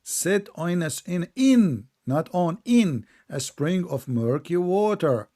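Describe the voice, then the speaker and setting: Male debate host, native Arabic speaker with Arabic accent, slightly high voice, insistent and probing, repeats points for emphasis. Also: expressive, takes it slowly, mellow. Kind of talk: live religious debate.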